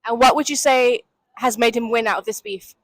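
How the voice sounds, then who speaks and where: A woman hosting street interviews outdoors in London, reporting in a playful, deadpan mock-news style. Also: fairly high pitch, fairly quick.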